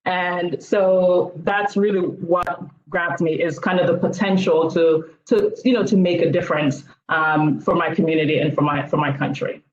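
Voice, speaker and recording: A woman economist reflecting in a measured way on a video roundtable, with frequent fillers. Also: fairly low pitch, steady tone, a little hiss or hum. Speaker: economist panelist. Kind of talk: roundtable discussion. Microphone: video call. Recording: roomy, dull video call audio.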